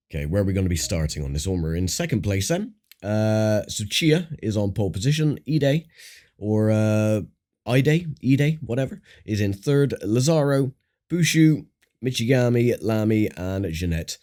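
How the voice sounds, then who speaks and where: A man with a croaky voice giving casual, chatty commentary while playing a racing game, thinking aloud with fillers. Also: on the low side, warm tone. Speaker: gaming channel host. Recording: voice over game audio with engine noise.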